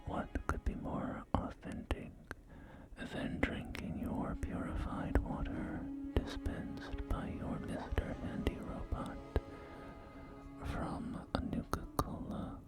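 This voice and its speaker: A man playing a polite, salesy post-apocalyptic real estate agent in a whispered ASMR roleplay, with hesitant, soft-spoken patter. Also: slow pace, lightly acted. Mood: proud, soothing. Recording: close whisper under loud music. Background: loud music.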